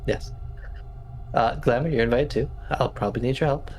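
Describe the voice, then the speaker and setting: A man's voice: medium pitch, normal speed, darkish tone, soft background music. A man playing a tabletop roleplaying game, chatting casually and thinking aloud as he plans, somewhat hesitantly. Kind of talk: casual in-game planning chat.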